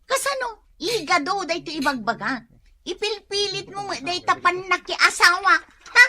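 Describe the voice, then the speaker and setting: A woman playing an unmarried middle-aged woman who answers with sharp, exasperated retorts in a comedy radio drama. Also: very high voice, expressive, normal speed, full character voice. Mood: annoyed.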